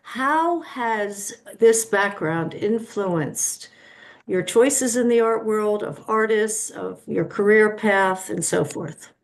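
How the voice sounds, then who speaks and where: A woman interviewer asks a long question in a measured, admiring way. Her voice is mid-pitched and even, as heard over a video call. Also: creaky.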